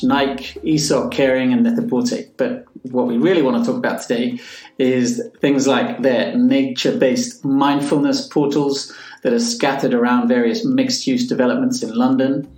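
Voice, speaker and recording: A man hosting a podcast, reading a measured, scripted introduction and then asking his guest questions. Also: medium pitch, a little mellow, roomy sound, rough recording. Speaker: podcast host.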